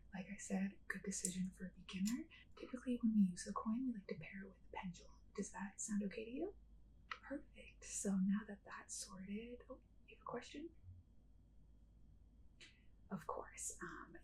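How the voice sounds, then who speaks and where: A young woman whispering gently as a welcoming witch in an ASMR roleplay. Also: medium pitch, slight background noise, rough recording. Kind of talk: soft-spoken ASMR roleplay.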